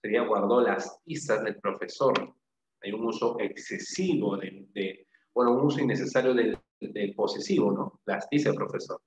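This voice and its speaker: A man's voice: on the low side, moderate pace. A man teaching an interactive language class, asking questions, repeating answers and confirming them with short praise and tag questions. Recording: echoey room, dull lo-fi sound, faint noise.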